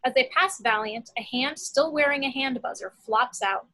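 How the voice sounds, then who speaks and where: A woman's voice: high-pitched, moderate pace, pushed and bright. A woman reading the action descriptions of a screenplay as a scripted narrator.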